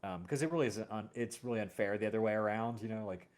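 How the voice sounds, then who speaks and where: A man's voice: lowish voice, quick pace, darkish tone. A man hosting a casual conversation about music, talking in a rambling, self-correcting way. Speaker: host.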